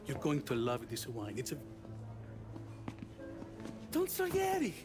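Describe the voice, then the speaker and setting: An actor playing an eager-to-please, effusive Italian restaurant owner in a video game cutscene, with an Italian accent and an exclamatory manner. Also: male voice, medium pitch, huge pitch swings, slow pace. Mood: fawning, excited. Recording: music behind the voice.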